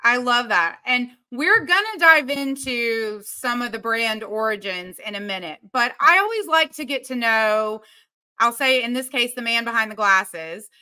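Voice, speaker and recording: A woman's voice: a little high, lively intonation, a little slow, pushed and bright, smooth. A woman in her thirties hosting a video-call interview, asking questions in an upbeat, encouraging way from a home office. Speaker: interview host.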